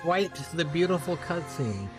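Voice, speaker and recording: A man's voice: fairly high pitch, sing-song, unhurried, music in the background. A man giving casual gameplay commentary as a streamer, with brief, understated reactions. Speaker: game streamer.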